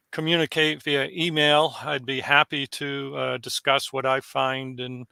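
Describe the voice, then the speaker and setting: A man's voice: mid-range voice, gravelly, bright, forceful tone, dry recording. A man giving a thoughtful, musing comment to the speaker in an online meeting. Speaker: audience member commenting.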